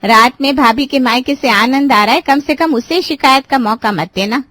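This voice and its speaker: A middle-aged, bossy mother-in-law from an animated family tale, voiced by a woman storyteller. She lectures and scolds in a reproachful manner, recorded dry. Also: high voice, moderate pace, no room sound, pitched above the performer's own voice. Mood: scolding. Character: older scolding mother-in-law, bossy female head of household. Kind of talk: Hindi cartoon story dialogue.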